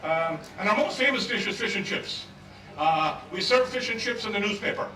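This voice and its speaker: A middle-aged Irish man, a native Gaelic speaker, demonstrating a dish live as a chef and pub owner, talking in a relaxed, rambling way with many fillers. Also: sits high, normal speed. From Ireland. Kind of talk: live cooking demo at a festival. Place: outdoor festival stage. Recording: echoey outdoor stage mic from far off, crowd noise.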